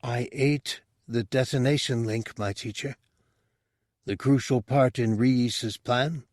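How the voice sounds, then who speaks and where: A man's voice: medium pitch, fairly even intonation, slow, darkish tone, no room sound, studio quality, natural voice. A man voicing a small, sly but earnest reptilian alien in an audiobook story. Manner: earnest, respectful pupil.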